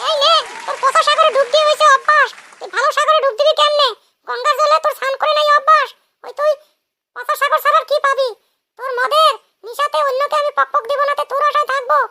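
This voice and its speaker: A performer voicing a brash, sharp-tongued village wife in a comic cartoon, scolding and taunting through a chipmunk-like pitch effect. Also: steady tone, fast talker, a faint crowd, full character voice.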